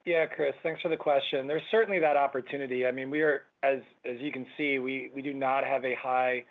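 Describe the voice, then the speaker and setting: Guy exec on earnings call, halting starts, corporate talk, phone-like sound. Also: mid-range voice, fairly even intonation. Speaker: company chief executive on an earnings call. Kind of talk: business Q&A answers. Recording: thin phone-like conference line.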